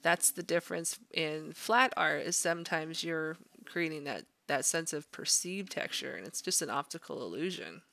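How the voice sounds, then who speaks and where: A woman's voice: deep voice, moderate pace, creaky, few pauses, pushed and bright, dry recording. A woman art instructor narrating an informal drawing tutorial, explaining conversationally as she thinks aloud.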